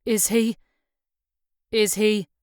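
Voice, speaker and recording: A woman reader plays a shy young fisher lad from an island. He speaks politely and a little hesitantly, eager to please, in storybook dialogue with a clear, dry sound. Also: mid-range voice, flat delivery, very slow, darkish tone, no echo. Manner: deferential, hesitant. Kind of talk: audiobook character dialogue.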